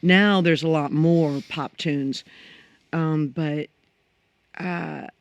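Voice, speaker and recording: A middle-aged woman singer with a low voice, chatting as a guest in a studio interview, reflective and full of restarts. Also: steady tone, extremely slow, dry recording, dull audio. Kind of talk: casual conversational interview. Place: radio studio.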